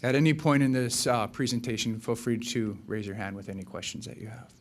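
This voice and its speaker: A man's voice: mid-range voice, steady tone, a little fast, slight background noise. A man from Hawaii presenting slides at a business seminar, with a polished, mostly scripted delivery and occasional fillers. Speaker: account executive presenting.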